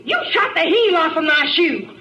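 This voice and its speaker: Sharp-tongued rural American frontier widow, defiant; animated, very high voice, vintage radio sound. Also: female voice, normal speed. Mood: alarmed, shrieking. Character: scheming, spiteful frontier widow, defiant then shrieking. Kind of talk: old-time radio western acting. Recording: muffled old radio broadcast, faint noise.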